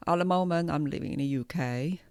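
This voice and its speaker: A woman in her forties with a Taiwanese accent, hosting a personal podcast in a hesitant, reflective, unscripted way with frequent pauses. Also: sits low, darkish tone, clean tone, no room sound, clean studio sound. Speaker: podcast host, hypnotherapist.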